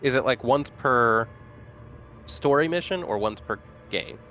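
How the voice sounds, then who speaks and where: A man's voice: medium pitch, expressive, a little slow, bright, forceful tone, faint music behind. A man chatting casually as a player in a tabletop roleplaying game.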